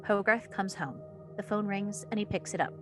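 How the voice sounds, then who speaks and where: A woman's voice: medium pitch, normal speed, a bit bright, soft background music. A woman reading stage directions as the narrator of a screenplay table read.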